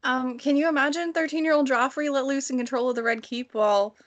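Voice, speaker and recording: A woman talking casually and bluntly in an informal book discussion, telling stories in an animated way. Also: high-pitched, quick pace, dull audio. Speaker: book read-along panelist. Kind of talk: casual discussion, personal anecdotes.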